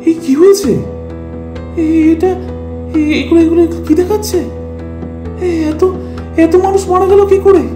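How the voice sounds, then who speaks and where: A man voicing an anxious, excitable wife who stammers and repeats words in an animated family drama. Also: extremely high pitch, unhurried, pauses often. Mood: shocked. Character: anxious wife and mother, excitable, easily shocked. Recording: roomy echo with music behind.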